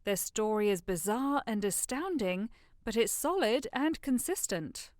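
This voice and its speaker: Woman acting a thoughtful noble bride, higher voice. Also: animated, unhurried, darkish tone, no echo, clean studio sound. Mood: pensive, intrigued. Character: thoughtful, tender-hearted noble bride of a duke. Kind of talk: audio drama acting of modernized Shakespeare.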